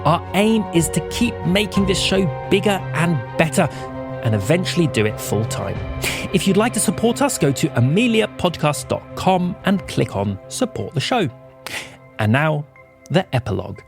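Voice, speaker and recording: Animated announcer woman over music. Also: on the low side, moderate pace, creaky. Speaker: credits announcer. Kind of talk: scripted podcast narration.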